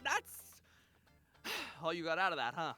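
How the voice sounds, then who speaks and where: Anxious, swooping little magical sidekick. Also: female voice, low voice, very animated, measured pace, sharp tone, soft background music, exaggerated voice. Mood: uneasy, hesitant. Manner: hesitant, pleading, stammering. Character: small male magical companion, anxious, earnest conscience. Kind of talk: voiced visual novel acting.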